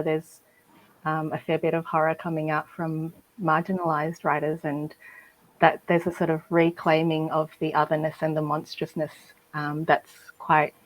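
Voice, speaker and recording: A woman from Malaysia speaking as a writer on a festival panel, thoughtful and hesitant, with many pauses and fillers. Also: low-pitched, poor audio. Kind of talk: panel discussion.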